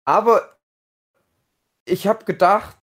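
A man's voice: sits high, slow pace, a bit bright. A man, casually reminiscing and often restarting as he searches for words.

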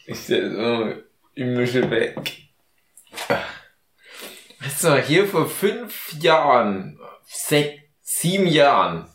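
A tipsy man co-hosting a podcast, loose and joking, handing out mock tips with a sly, ironic edge. His voice sits at an ordinary middle pitch. It rings with a roomy echo. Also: big pitch swings, drawn-out words, pauses often, a bit bright. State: drunk. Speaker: podcast co-host. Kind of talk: casual drunken podcast banter.

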